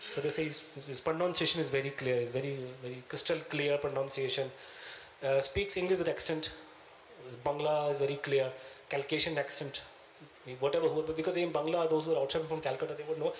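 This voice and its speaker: Punjabi accent guy telling a story in interview, lots of pauses, slightly high voice, roomy echo. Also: steady tone, slight background noise. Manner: halting, searching for words. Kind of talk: recounting, conversational.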